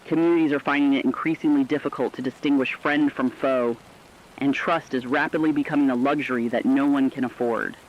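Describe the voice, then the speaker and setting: A man playing an earnest radio announcer, reading a scripted emergency broadcast in a measured manner. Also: medium pitch, fairly even intonation, close to an everyday voice. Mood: earnest, urgent. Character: earnest male radio announcer warning survivors of catastrophe. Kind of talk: scripted fictional radio news narration. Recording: dull lo-fi sound, rain-like noise.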